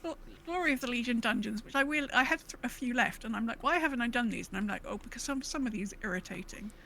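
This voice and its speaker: A woman's voice: a little high, fast, slight background noise, low-quality recording. A woman co-hosting a gaming podcast in casual chat, rambling and breaking into her own sentences with quoted asides. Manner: rambling, self-interrupting, animated retelling with quoted asides. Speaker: podcast co-host.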